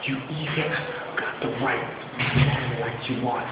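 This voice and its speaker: A man's voice: medium pitch, fairly even intonation, a little slow, natural voice. A man playing a bitter, desperate grown son in an impassioned stage monologue to his mother. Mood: defiant, brooding. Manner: impassioned, declamatory, swinging from shouts to hushed. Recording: echoey hall, muffled, faint noise.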